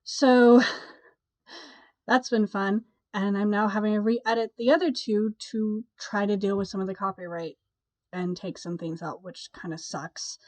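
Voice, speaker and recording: A woman's voice: mid-range voice, steady tone, a little slow, a little mellow, no room sound. Young woman, stuffy nasal voice, cold, rambling vlog chat, dry close sound. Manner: chatty, rambling, with sighs and laughs. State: congested from a cold. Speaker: vlogger. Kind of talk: casual chat to camera.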